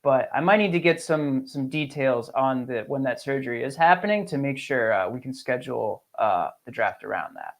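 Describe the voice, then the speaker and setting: A man's voice: mid-range voice, expressive, moderate pace, hardly any pauses. A man co-hosting a casual fantasy sports show, joking and teasing in crude, easygoing banter. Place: remote call on a live stream.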